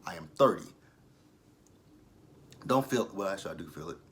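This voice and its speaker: A thirty-year-old man talks through a TV episode in a casual review vlog. His voice sits a little low, with a bright, forceful edge. He is blunt and opinionated and swears without hesitation. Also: lively intonation, moderate pace, a little hiss or hum. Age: 30s. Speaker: TV show reviewer.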